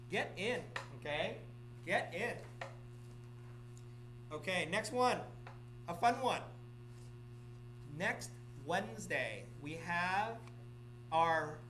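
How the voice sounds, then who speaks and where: A man's voice: high-pitched, pushed and bright, slight background noise, low-quality recording. A middle-aged man from Vietnam gives announcements at an office meeting, repeating himself and often checking in with "okay?". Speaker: real estate office manager or broker.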